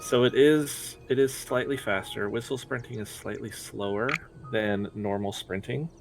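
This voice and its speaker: A man's voice: fairly low pitch, steady tone, normal speed, gravelly, over music. A man commentating on a speedrun, patiently explaining the game's mechanics.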